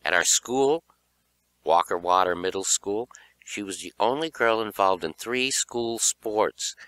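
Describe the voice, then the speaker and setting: A man reading a young horror story as an audiobook narrator, with an animated, dramatic delivery. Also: low voice, smooth, no echo.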